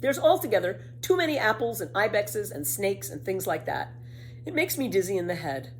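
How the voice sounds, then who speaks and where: A woman's voice: medium pitch, very animated, normal speed, a little hiss or hum, voice pushed up, lightly acted. A woman voicing a cheeky, boastful young girl who spins tall tales in a children's storybook, with breezy, emphatic delivery. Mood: candid. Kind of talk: children's storybook read aloud.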